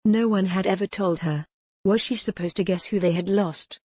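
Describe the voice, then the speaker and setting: A woman's voice: mid-range voice, normal speed, a little mellow, slightly rough, no room sound. A woman reading scripted, dramatic historical romance narration as an audiobook narrator.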